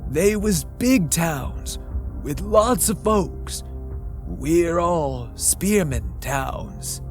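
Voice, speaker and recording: A man's voice: fairly high pitch, lively intonation, very slow, pitched above the performer's own voice. A man voicing an old frontier townsman, blunt and folksy, drawling in backwoods grammar, over background music. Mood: grave.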